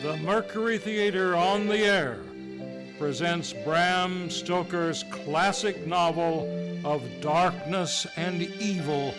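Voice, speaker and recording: Man playing a high-voiced, theatrical radio announcer. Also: takes it slowly, rough recording. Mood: grand, showy. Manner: theatrical, pompous. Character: fussy, theatrical old-time radio show host. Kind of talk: old-time radio announcing. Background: music behind the voice.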